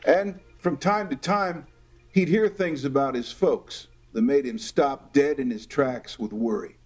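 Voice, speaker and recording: A man playing a reflective, wry narrator, telling a scripted period radio drama in measured fashion. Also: medium pitch, soft background music, close to an everyday voice.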